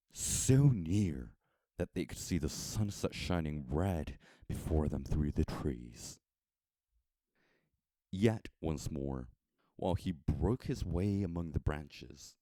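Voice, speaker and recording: Slow, deep-voiced American man narrating. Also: warm tone, dry recording. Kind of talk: scripted storybook narration.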